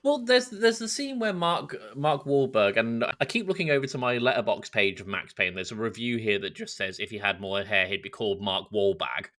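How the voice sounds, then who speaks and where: A man's voice: on the low side, expressive, brisk, few pauses, a bit bright. A British man chatting as a podcast host, scornful and sweary, acting out mocking quotes. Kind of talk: casual chat.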